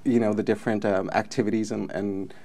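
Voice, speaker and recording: Earnest low-voiced man telling his story. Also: level tone, brisk, warm tone. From Mexico. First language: Spanish. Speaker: film commission president. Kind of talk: interview guest.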